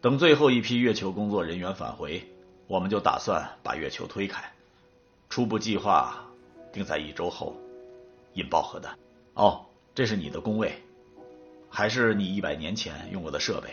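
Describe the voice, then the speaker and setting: Guy as matter of fact official in radio drama, low voice, kinda dull recording, soft music. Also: expressive, normal speed. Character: businesslike male command centre official explaining plans. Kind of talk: audio drama acting.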